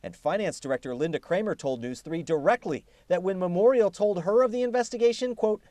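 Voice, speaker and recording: A man reading a scripted investigative television news report with emphatic, deliberate phrasing. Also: high voice, mellow, no room sound.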